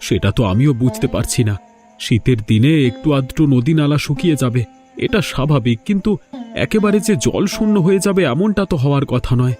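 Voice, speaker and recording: A troubled village farmer from a cartoon moral tale, played by a man. His mid-pitched voice grumbles and presses each complaint. Soft plucked-string music sits behind him. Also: expressive, a little fast, natural voice. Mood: worried. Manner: complaining, emphatic. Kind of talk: cartoon moral-story voice acting.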